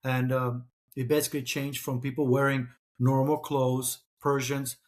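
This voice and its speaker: Hesitant Czech-born man, steady mid voice. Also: mid-range voice, moderate pace. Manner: hesitant, many restarts and fillers. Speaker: author and guest. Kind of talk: conversational interview.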